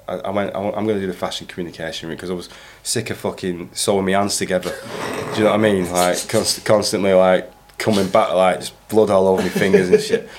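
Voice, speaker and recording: A man's voice: low-pitched, fast talker, hardly any pauses, a crowd in the background. A man from Bolton with a Northern English accent, a podcast guest telling stories in casual, swearing, laughing chat. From Bolton, England. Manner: animated, swearing, laughing storyteller.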